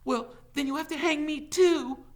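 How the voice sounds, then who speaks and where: A man's voice: very high voice, moderate pace, pitched above the performer's own voice. A man voicing an indignant young schoolteacher woman in an audiobook, urgent and pleading.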